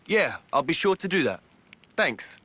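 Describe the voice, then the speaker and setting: An actor playing a cocky, cheerful young adventurer who teases in breezy slang in a video game. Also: male voice, on the high side, very animated, a little slow, pushed and bright, dry recording, exaggerated voice. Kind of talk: video game cutscene acting. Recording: music behind the voice.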